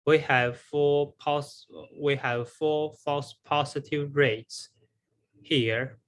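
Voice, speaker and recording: A man giving a scripted academic research presentation in a halting way, with repeated words and pauses. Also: a little high, steady tone.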